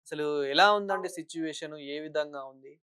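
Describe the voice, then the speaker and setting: A man's voice: a little high, moderate pace, sharp tone, clean tone, no echo, studio quality. A man working as a news interviewer, putting long, wordy questions in a phone interview.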